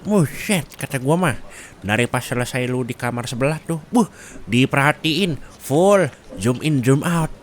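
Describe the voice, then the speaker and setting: A man playing a gossipy, excitable male office coworker in a roleplay, with a Jakarta accent and slangy, dramatic, rambling chat. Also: medium pitch, a little slow, natural voice. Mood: gossipy, teasing.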